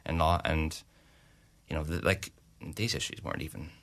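A young Irish man in his twenties, a student representative answering interview questions in a halting way, with many restarts and fillers. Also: very deep voice, fairly bright tone.

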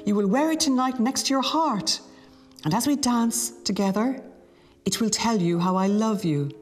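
A woman's voice: fairly high pitch, moderate pace, soft background music, pitched above the performer's own voice, close to an everyday voice. A woman reading aloud voices a self-absorbed young male student who speaks with eager, lecturing earnestness. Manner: eager, then petulant and lecturing.

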